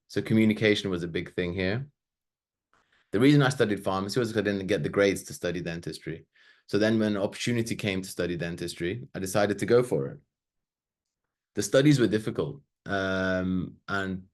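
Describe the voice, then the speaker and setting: A man, giving a casual, chatty webinar talk with loose asides and fillers. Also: low voice. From Sweden. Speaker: webinar presenter.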